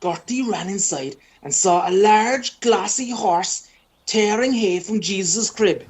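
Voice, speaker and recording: A woman with a husky voice, narrating a scripted amateur radio play. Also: mid-range voice, slow, darkish tone. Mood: storytelling.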